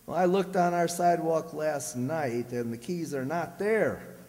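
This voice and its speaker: A blustering, scheming husband from a radio comedy, acted by a man. He grumbles and wisecracks in a fairly high, folksy voice, with long hall reverb around it. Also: unhurried, a little mellow, natural voice. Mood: grumbling. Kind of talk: old-time radio comedy acting. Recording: very reverberant hall stage recording.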